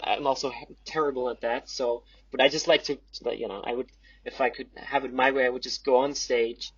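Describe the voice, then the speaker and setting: A young man chatting casually as a podcast guest, halting and self-deprecating in the way he talks. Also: mid-range voice, fairly bright tone, breathy edge, no echo, muffled recording. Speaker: podcast guest, amateur theater group member.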